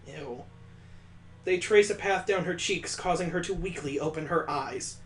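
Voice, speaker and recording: A man's voice: a little high, a little slow, fairly bright tone, echoey room, soft background music. A man reading a visual novel aloud, mixing dramatic narration with sarcastic comic asides. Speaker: let's play host.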